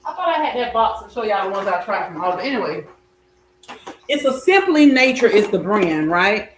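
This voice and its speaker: A middle-aged woman chatting casually as she cooks, her voice slightly raspy as it recovers. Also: mid-range voice, very animated, normal speed, reverberant, slight background noise, low-quality recording. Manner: chatty, warm greetings and blessings to viewers. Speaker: health coach and vlogger. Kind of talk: casual cooking vlog chat. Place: home kitchen.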